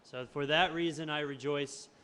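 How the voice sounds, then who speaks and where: A man with a North American accent preaching a sermon in church, speaking in measured short phrases with pauses for an interpreter. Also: a little high, steady tone, bright, forceful tone. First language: English. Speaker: visiting preacher.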